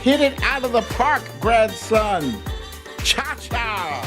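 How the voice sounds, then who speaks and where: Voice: medium pitch, sing-song, takes it slowly, music in the background. An American livestream host chatting casually, greeting viewers in a playful, slangy, informal way. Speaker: host of a live online show. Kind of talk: casual livestream chat with shout-outs to viewers.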